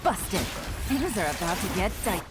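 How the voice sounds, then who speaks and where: A woman's voice: mid-range voice, big pitch swings, unhurried. A woman voicing a cool, confident female fighter in a video game, calling out clipped, self-assured battle lines. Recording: game audio under loud music and effects.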